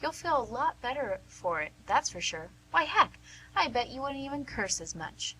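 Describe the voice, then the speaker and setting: A woman's voice: sits high, big pitch swings, moderate pace, bright, forceful tone, slightly rough, heavily acted, rough recording. A woman playing a plain-spoken, caring but pushy nurse in a radio play, persuasive and folksy. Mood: urging. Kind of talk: amateur radio theatre acting.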